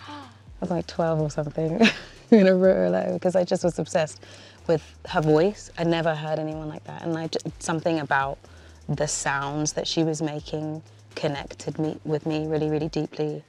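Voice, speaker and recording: A woman's voice: deep voice, level tone, normal speed, vocal fry, mellow. A woman singer-songwriter talking in a casual, gushing way during an interview.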